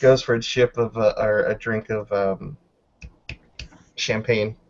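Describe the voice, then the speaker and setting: A man casually improvising narration as a player in a tabletop roleplay, descriptive and halting, on a dull, slightly noisy mic. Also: lowish voice, steady tone, creaky. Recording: dull lo-fi mic with background noise.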